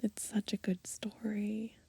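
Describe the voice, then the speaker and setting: Female ASMR host, extremely breathy whisper, slow and gentle, soft dark tone, browsing and reading aloud outdoors. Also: mid-range voice, monotone. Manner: gentle, unhurried, murmuring. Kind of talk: ASMR, soft murmured commentary and title reading. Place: outdoors on grass.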